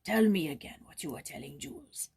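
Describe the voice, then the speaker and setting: A woman's voice: sits low, animated, brisk, vocal fry, darkish tone, breathy edge, voice pushed down, exaggerated voice. A woman voicing an agitated, bitter old man in a storybook, speaking in an intense, abrupt way. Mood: intent.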